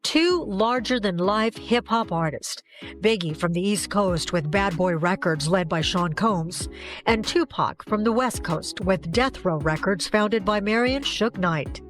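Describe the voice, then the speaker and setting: Woman news reporter, mid-pitched voice. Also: animated, a little slow, soft background music. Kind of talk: scripted broadcast news narration.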